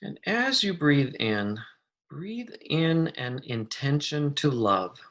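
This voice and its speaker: A meditation guide speaking gently and soothingly, giving unhurried instructions with long pauses. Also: medium pitch, very animated.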